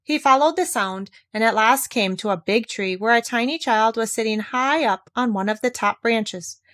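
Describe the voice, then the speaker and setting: A woman reading a fairy tale aloud as scripted narration, in a measured, expressive storytelling manner. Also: a little high, dry recording, clean studio sound.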